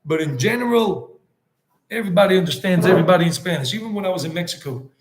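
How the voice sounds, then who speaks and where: A man's voice: high voice, expressive, quick pace. Chatty rambling man in an echoey room. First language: English. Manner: rambling, answers viewer comments, mixes Spanish words. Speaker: travel vlogger hosting a live stream. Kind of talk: casual live-stream chat.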